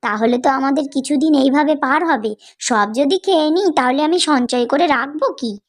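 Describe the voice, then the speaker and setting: A very high-pitched young village wife from a cartoon , played by a woman. She is miserly and calculating, with a brisk, grumbling, fussy way of talking. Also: lightly acted. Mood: scheming. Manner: complaining, brisk, penny-pinching fussiness. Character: stingy, grumbling young village daughter-in-law, calculating and curt. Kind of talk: cartoon family drama acting.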